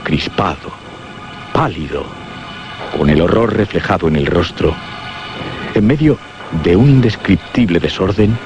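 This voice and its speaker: Really low voiced guy narrating a horror radio play, dramatic pauses, music behind him. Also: expressive. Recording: muffled radio drama recording. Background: prominent music.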